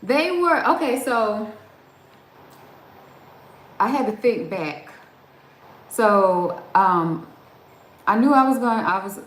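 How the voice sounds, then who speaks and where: A middle-aged woman hosting a casual livestream, chatting and answering viewer comments, laughing often and restarting her sentences. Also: mid-range voice. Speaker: livestream host. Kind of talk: casual live chat reading viewer comments. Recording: roomy echo with faint background ambience.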